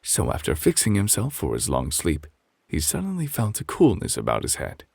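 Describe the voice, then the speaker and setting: A man playing a calm, refined gentleman who reads a bedtime story as gentle, measured ASMR close to the microphone. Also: low voice, creaky, slightly rough, dry recording, heavily acted. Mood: soothing.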